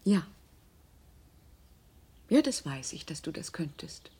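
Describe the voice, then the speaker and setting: A woman's voice: deep voice, big pitch swings, slow pace, mellow, breathy edge, heavily acted. An actress in a German radio drama playing a cool, calculating middle-aged wife and mother, controlled and pointed in delivery. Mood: grave, restrained.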